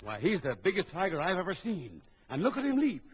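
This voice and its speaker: An actor playing a bold big-game trapper in an old children's adventure record, briskly instructing and giving orders. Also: male voice, high voice, wildly swooping pitch, breathy edge, exaggerated voice. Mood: urgent, resolute. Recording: dull old record with background crowd noise.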